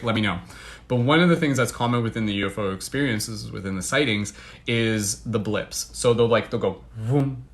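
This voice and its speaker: Chatty Canadian man livestreaming. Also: medium pitch. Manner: chatty, filler-heavy, excitable. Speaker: livestream host. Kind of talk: casual livestream chat to viewers.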